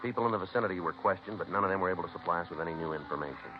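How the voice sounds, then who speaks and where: Actor as deep-voiced, deadpan American police detective. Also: male voice, very fast, over music, lightly acted. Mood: matter-of-fact. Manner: clipped, deadpan, businesslike. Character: terse, matter-of-fact police detective narrating his cases. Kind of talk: 1950s radio police drama acting and narration. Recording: noisy, muffled 1950s radio recording.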